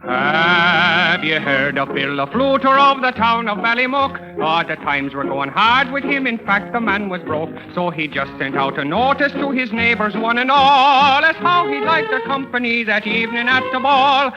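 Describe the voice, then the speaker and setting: A male radio singer performs a lively Irish comic song in a very high voice. Music plays along, and the old broadcast sounds muffled. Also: normal speed, hardly any pauses. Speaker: show's singer.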